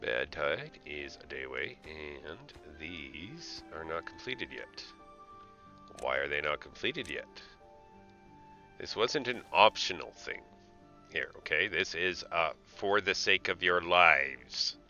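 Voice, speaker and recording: Very deep-voiced man streaming a game. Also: expressive, slow, pushed and bright, muffled recording, soft background music. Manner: mutters to himself, playful asides to game characters. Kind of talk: casual live gameplay commentary, thinking aloud.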